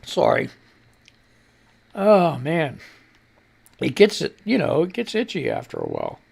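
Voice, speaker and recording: A man hosting a casual live radio news show, reading posts aloud and ranting about them with sarcasm and the odd swear word. His voice is gravelly, and he keeps holding back a cough. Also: on the high side, wildly swooping pitch, measured pace, a little mellow. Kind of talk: casual political commentary, reading and reacting to posts. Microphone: studio mic on a live stream.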